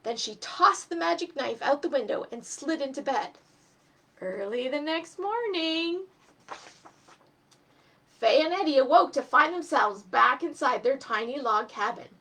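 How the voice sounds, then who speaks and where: A woman hosting a children's storytime, expressive and speaking directly to young listeners as she reads and explains step by step. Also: sits high, unhurried. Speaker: storytime host and reader for children. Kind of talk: animated storybook reading and craft instruction for children.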